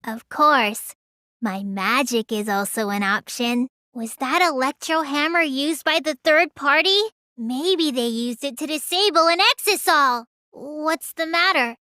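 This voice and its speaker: A woman voicing a small, childlike teenage girl mage in a video game, high and girlish, sulky and excitable with a whiny edge. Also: measured pace, dry recording, clean studio sound. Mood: earnest, reasoning. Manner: whiny, stammers when startled. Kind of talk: English game dub voice lines.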